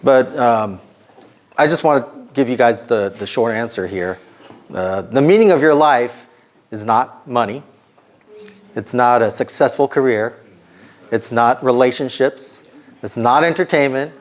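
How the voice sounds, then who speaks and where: Middle-aged male speaker, a little hoarse, preaching to students in a relaxed, talky way. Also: mid-range voice, measured pace, long pauses. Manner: conversational, many ums and restarts. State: slightly hoarse. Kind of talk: informal sermon to students.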